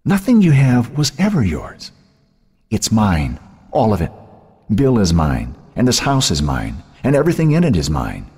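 A man's voice: medium pitch, expressive, quick pace, few pauses, a little mellow, long reverb tail, pitched above the performer's own voice, natural voice. A man voicing a cruel, mocking ghost of a possessive woman in an audiobook, scornful and belittling. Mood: gloating, possessive. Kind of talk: audiobook character voice.

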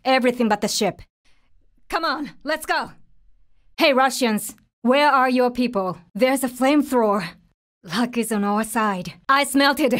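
A woman's voice: fairly high pitch, unhurried, fairly bright tone. A woman playing a tough, determined young survivor of a zombie apocalypse in a video game, speaking in terse, urgent, businesslike lines. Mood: focused, businesslike. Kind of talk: video game voice lines, short dramatic callouts.

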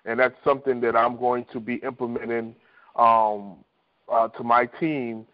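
A man's voice: mid-range voice, level tone, measured pace. A man giving informal team coaching on a conference call over the telephone, conversational and repeating himself. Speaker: insurance agent team leader. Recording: dull, lo-fi conference phone line.

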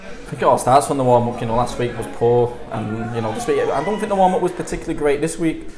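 A man's voice: medium pitch, fast talker, echoey room, over music. A man, a team captain talking plainly in a post-match interview and leaning on "you know" and "I think". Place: small indoor club room.

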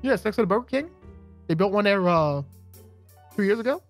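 A man's voice: sits high, lively intonation, fairly quick. A young man bantering casually during gameplay in a goofy, joking way. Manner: goofy, jokey. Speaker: gaming video player. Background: background music.

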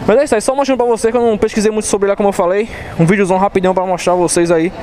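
A young man from Recife with a Pernambuco accent, hyping motorcycles in a slangy, excited vlog from a showroom on an action camera. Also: sits high, very fast, noisy background. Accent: Pernambuco Brazilian Portuguese. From Recife, Brazil. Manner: excited, slangy, hype-driven. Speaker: motorcycle vlogger. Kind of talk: casual slangy vlog chat. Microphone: chest-mounted action camera.

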